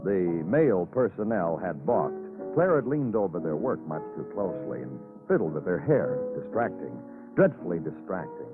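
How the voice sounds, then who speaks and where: Hard-boiled 1950s radio detective, brooding poetic narration, widely swinging intonation, tinny old broadcast. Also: male voice, low-pitched, big pitch swings, moderate pace, music in the background. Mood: brooding, lyrical. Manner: brooding, terse, lyrical phrasing. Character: moody, hard-boiled male police detective narrating his case. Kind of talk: 1950s radio crime drama acting, poetic clipped narration.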